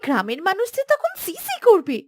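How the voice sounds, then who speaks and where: A woman voicing an indignant, quarrelsome village wife in a cartoon fairy tale, with a shrill, scolding, dramatic delivery. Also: huge pitch swings, a little fast, exaggerated voice. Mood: shocked, furious.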